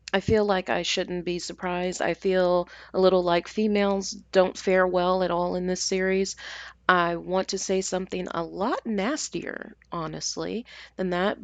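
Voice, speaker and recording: A woman's voice: lowish voice, dry recording. A woman host giving a casual, dramatic review, with exasperated, hesitant asides and exaggerated emphasis.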